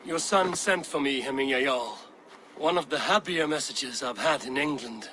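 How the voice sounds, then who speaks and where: An actor playing a calm, earnest Norse clan leader. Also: male voice, medium pitch, moderate pace, natural voice, poor audio. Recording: game audio with ambient noise.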